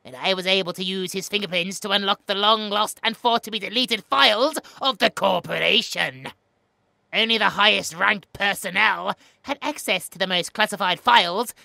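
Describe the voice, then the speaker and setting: A cruel scientist villainess acted by a woman with a lowish, bright, forceful voice that swings theatrically. She taunts with slow, relished emphasis, gloating through a horror audio drama. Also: creaky, no echo, exaggerated voice. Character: gloating, cruel female scientist interrogating her captives.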